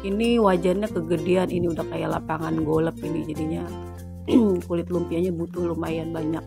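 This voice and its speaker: A woman, a cooking channel host in a home kitchen, talking through a recipe in playful joking banter, her voice a bit hoarse from a sore throat. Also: fairly low pitch, steady tone, normal speed, music in the background. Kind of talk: casual cooking tutorial chat.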